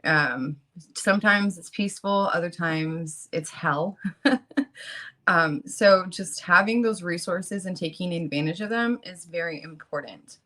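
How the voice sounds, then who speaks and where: Woman, late twenties, bright lowish voice, earnest and halting, lots of fillers, just out of bed. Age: late 20s. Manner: earnest and halting, with many ums and you-knows. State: just woke up. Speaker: guest speaker on a channel about fighting child protective services. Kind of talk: casual talk, partly reading resources aloud.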